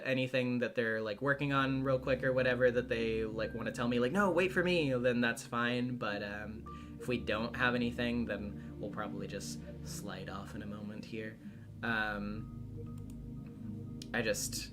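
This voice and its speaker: A man hosting a casual livestream, talking in a halting way and often trailing off mid-thought. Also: mid-range voice. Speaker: streamer and voice actor hosting a visual novel readthrough. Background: background music.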